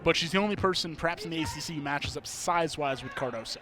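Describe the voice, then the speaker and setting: A man's voice: on the high side, quick pace. A man giving color analysis during a sports broadcast. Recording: crowd noise in arena. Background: basketball game crowd.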